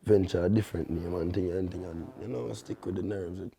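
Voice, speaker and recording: A young man with a Jamaican accent and a deep bass voice, talking in relaxed patois as a guest artist, often tagging his sentences with a check-in. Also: brisk, gravelly, a little hiss or hum. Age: young adult. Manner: laid-back, peppers talk with "you see me?".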